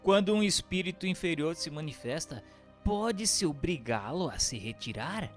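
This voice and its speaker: A man reading scripted notes and questions for a radio drama with formal, measured diction. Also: fairly high pitch, animated, soft background music. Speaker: reader posing the questions.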